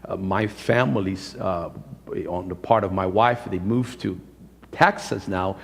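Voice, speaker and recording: Male pastor, fifties, Brazilian accent, low gravelly voice, emphatic sermon delivery with repeated phrases. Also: a little slow. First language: Portuguese. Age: 50s. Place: church sanctuary. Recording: reverberant hall, live-streamed church service.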